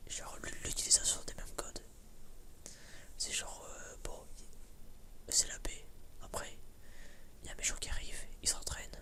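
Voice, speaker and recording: A woman chatting casually as an ASMR creator, in a rambling, halting way that often repeats words and phrases. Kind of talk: whispered ASMR casual chat.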